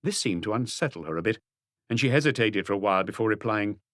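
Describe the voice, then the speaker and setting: A male narrator reads a scripted mystery audiobook. His voice sits fairly low, and the recording is dry, with very little room sound. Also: expressive, quick pace, a bit bright, dry recording.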